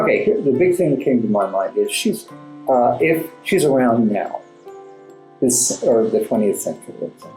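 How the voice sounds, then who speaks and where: A man speaking as an interview guest, explaining and telling stories in a thoughtful, halting way with emphatic asides. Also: mid-range voice, darkish tone. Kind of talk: conversational storytelling and explaining in an interview. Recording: roomy echo, music underneath. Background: classical background music.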